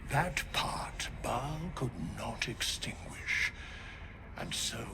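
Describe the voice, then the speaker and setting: Casting: ancient keeper of the dead, fantasy game. Stately, unhurried, archaic, over clear ambient noise. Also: male voice, medium pitch. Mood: solemn, benevolent. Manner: stately, measured, portentous. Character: ancient solemn undead male scribe, keeper of the dead.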